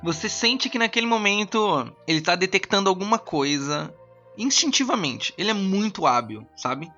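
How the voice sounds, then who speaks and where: A man's voice: sits high, animated, moderate pace, pushed and bright, highs cut off, faint music behind. A man with a Brazilian accent improvising narration as game master, conversational, often repeating himself as he searches for words.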